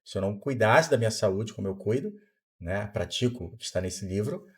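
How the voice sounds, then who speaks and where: A Brazilian man in his fifties speaks as a podcast guest, with a somewhat low voice. His delivery is didactic, and he often asks a question aloud and then answers it, the way a doctor explains things to a patient. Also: animated, brisk. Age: 50s. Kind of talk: explanatory interview talk.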